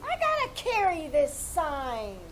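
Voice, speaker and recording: A woman's voice: sits high, huge pitch swings, takes it slowly, slight background noise, exaggerated voice. A woman playing a stubborn, blunt housemaid in a 1940s radio comedy, bossy and obstinate, performed live on stage.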